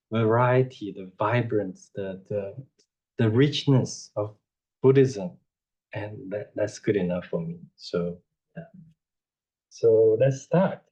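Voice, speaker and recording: A man gives an informal dharma lecture over a video call, unhurried and rambling, with frequent "you know" and self-corrections. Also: lowish voice, darkish tone. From Taiwan. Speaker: Buddhist teacher giving a talk. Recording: dull lo-fi online audio.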